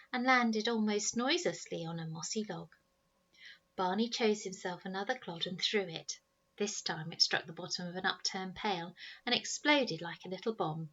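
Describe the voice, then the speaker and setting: A woman narrates a children's storybook with a bright, clear-cut edge to her voice. She reads expressively, as a teacher does to pupils, at a middling pitch. The recording is a little dull and lo-fi.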